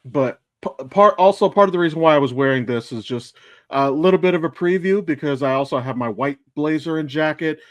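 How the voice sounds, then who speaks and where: A man's voice: a little high, a little fast, dry recording, muffled recording. Rambling American man, dry close sound. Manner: rambling, sweary. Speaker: podcast co-host and voice actor. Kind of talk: casual chat.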